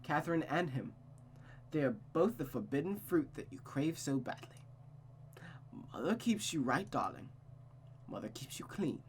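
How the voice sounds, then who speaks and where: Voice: medium pitch, sing-song, moderate pace, long pauses, slight background noise. A performer voicing a cold, manipulative mother who coaxes and dominates her child with silky endearments in a visual novel. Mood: coldly persuasive.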